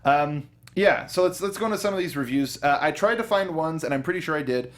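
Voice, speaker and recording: A man's voice: a little high, expressive, quick pace. A young man co-hosting a casual movie review chat, rambling with many restarts and fillers. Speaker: podcast co-host.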